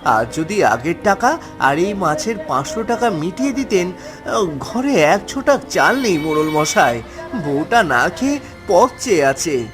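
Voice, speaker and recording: A man's voice: high-pitched, big pitch swings, unhurried, over music. A man voicing a poor, honest village fisherman in a cartoon, pleading in an earnest, plaintive way. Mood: pleading, despairing. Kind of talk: cartoon story acting.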